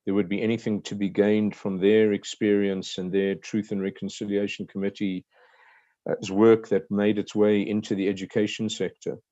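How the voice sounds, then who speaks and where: A South African man speaks in a low, flat voice, hesitating and restarting as he asks his questions. His tone is warm and mellow. The audio is dry and dull, like a video call. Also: normal speed, dry recording. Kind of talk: asking questions in an online meeting.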